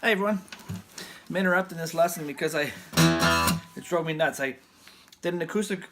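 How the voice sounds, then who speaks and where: A man with a fairly high voice giving a casual guitar lesson in a loose, rambling way. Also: brisk. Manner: loose, rambling, restarts often. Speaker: guitar teacher. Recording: guitar playing loud behind him.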